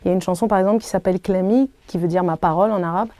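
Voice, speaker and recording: A woman in her thirties whose first language is Arabic, answering interview questions thoughtfully, with many pauses and restarts. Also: on the low side, warm tone, dry recording. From Algeria. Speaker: singer being interviewed. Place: hotel room.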